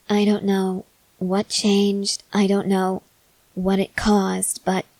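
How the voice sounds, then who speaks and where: A woman playing a stoic, confident young woman soldier in an ASMR roleplay, speaking in a measured, serious, guarded way with hesitant pauses. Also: mid-range voice, a little mellow, no room sound, poor audio. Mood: worried, grave. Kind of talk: ASMR roleplay, acted scene to a listener.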